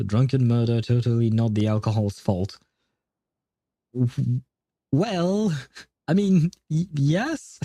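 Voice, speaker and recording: A man's voice: on the low side, warm tone. A man doing casual livestream game commentary, jokey and rambling as he thinks aloud into a close mic.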